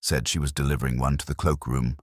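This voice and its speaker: Man as a prim, guarded English spinster, low-voiced. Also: fairly even intonation, fast, dry recording, studio quality. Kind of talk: audio mystery story dialogue.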